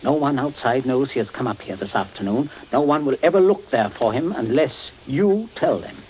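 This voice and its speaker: A man's voice: mid-range voice, lively intonation, moderate pace, natural voice. An actor playing a coolly menacing middle-aged father who gives curt, icily polite commands in a vintage radio suspense play. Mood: cold menace. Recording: tinny, hissy old radio broadcast.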